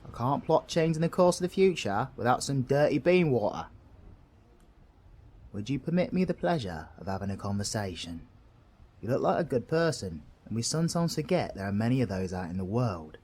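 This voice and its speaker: A man's voice: mid-range voice, sing-song, moderate pace. A British man playing a cheeky, kind-hearted supervillain in an audio roleplay, chummy, slangy and reassuring, with a bit of mild swearing. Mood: warm, cheeky. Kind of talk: scripted audio roleplay addressed to the listener.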